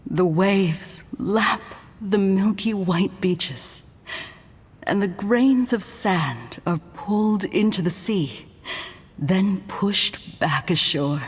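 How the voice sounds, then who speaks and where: A slow, breathy, dreamy mystic. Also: female voice, mid-range voice. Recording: long reverb.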